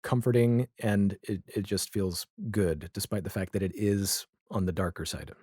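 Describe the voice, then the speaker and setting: Low-voiced man talking reflectively, dry recording. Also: fairly even intonation, moderate pace, a little mellow, breathy edge, dry recording. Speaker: podcast guest. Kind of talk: casual, reflective interview conversation.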